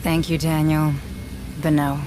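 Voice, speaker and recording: An actress playing a dutiful, determined deputy administrator on a space station in a video game, serious and businesslike. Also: female voice, low-pitched, little pitch movement, a little slow, noisy background. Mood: weary, guarded. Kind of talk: dramatic video game acting.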